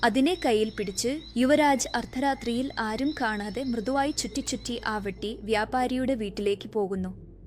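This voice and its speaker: A woman's voice: fairly high pitch, normal speed, faint music behind. A woman reading scripted narration for a cartoon moral story.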